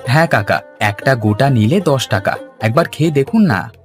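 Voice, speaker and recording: A man voicing an earnest, energetic young village son and street hawker in a cartoon. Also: mid-range voice, moderate pace, lightly acted. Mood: polite. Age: young adult. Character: eager, devoted young village son selling fried eggplant. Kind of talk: Bengali cartoon voice acting. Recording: music under the voice.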